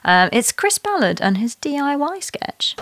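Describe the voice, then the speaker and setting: A woman's voice: high voice, sing-song, measured pace, fairly bright tone, a little hiss or hum. A woman hosting a comedy sketch show, chatting casually and jokily between sketches. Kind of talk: casual, jokey show chat and introductions.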